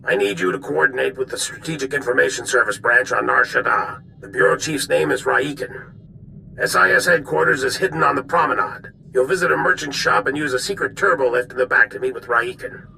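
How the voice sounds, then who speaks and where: An actor playing a weary, grave older general who gives deliberate military briefings in a video game. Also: male voice, medium pitch, vocal fry, sharp tone, slight background noise, heavily acted, poor audio. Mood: businesslike. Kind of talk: dramatic video game dialogue.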